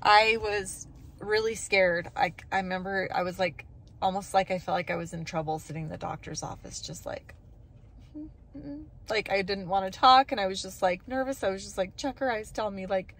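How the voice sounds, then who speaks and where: A woman in her thirties chatting casually to her phone camera from a parked car, acting out what others said with lively voices. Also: mid-range voice, moderate pace. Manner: chatty, acts out quoted voices animatedly. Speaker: family vlogger. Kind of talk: casual vlog talk to camera. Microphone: phone camera held close.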